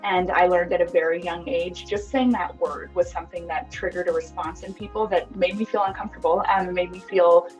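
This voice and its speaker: A woman's voice: on the low side, fairly even intonation, a little fast, few pauses, soft background music, poor audio. A woman in her thirties from Costa Rica, talking openly and earnestly as a podcast guest, with many ums and likes. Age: 30s. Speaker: podcast guest, app founder. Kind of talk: reflective interview talk.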